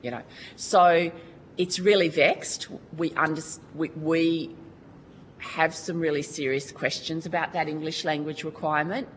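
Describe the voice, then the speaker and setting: Australian woman, very low pitch, earnest colloquial testimony, faint background noise. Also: steady tone, a little slow, low-quality recording. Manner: earnest, colloquial, restarts often. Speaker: witness at a Senate committee hearing. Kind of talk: formal testimony.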